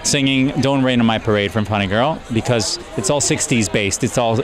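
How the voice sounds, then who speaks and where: A man's voice: medium pitch, normal speed. Bubbly young man from Mexico. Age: young adult. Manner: bubbly and effusive. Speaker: performer at the gala. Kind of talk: red-carpet interview. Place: outdoors at an evening gala. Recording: loud crowd chatter behind.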